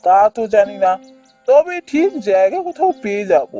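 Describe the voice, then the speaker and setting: A woman's voice: mid-range voice, sing-song, normal speed, warm tone, highs cut off, faint music behind, natural voice. A woman voicing a principled, kind-hearted younger brother in a fairy-tale cartoon, earnest and firm. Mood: reassuring.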